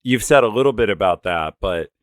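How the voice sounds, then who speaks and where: Low, smooth-voiced man co-hosting. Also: animated, a little fast, dry recording, clean studio sound. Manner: informal, addresses the chat directly. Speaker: livestream co-host. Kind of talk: casual livestream hosting. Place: video call.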